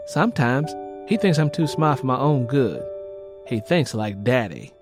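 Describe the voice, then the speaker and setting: A man's voice: medium pitch, natural voice. A man voicing a weary, worried young church wife with a Southern US accent in an audiobook. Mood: defensive. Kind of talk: audiobook character dialogue. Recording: rain and music behind the voice.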